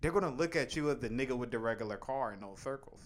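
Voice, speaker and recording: A young man in his twenties talks in a relaxed, casual chat, swearing freely. His voice sits at a middle pitch, and he laughs easily between points. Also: fast. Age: 20s. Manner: laid-back, profane, laughs easily. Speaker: rapper.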